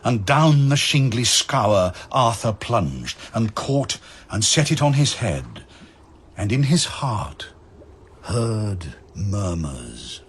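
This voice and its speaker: Slow, husky, low-voiced male narrator. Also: big pitch swings. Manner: measured, solemn storytelling. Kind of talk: dramatic narration of verse.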